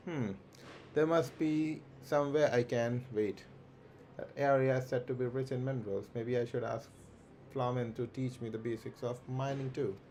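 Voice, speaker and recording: A man streaming a game, reading the on-screen dialogue aloud slowly and plainly, with stumbles and restarts. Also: medium pitch, steady tone, a little mellow, slight background noise.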